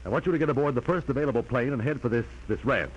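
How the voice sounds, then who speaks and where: A man plays a domineering older-brother type from a 1940s radio play. He talks fast in a mid-pitched voice, curt, formal and scolding. The old recording is noisy. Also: muffled recording, close to an everyday voice. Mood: stern, businesslike. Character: stern, domineering businessman brother scolding his sibling.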